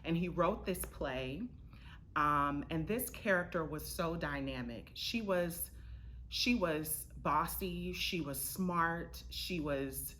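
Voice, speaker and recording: Low, bright-voiced woman in conversation. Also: slow, slight background noise. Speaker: interview guest, actor, director and writer. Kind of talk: casual interview chat.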